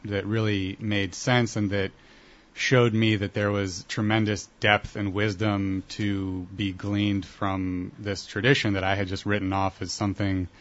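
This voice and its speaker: A man's voice: on the low side, little pitch movement, unhurried. A young man from Ohio answering interview questions casually, with many hesitant fillers. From Columbus, Ohio. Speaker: guest. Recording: dull lo-fi broadcast audio.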